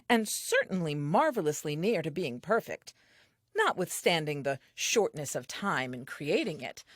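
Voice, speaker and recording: A woman's voice: fairly low pitch, no room sound, studio quality. An actress playing a curious, earnest, newly created first woman, reading her diary aloud with naive, precise self-assurance in an audio play. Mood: earnest, appraising.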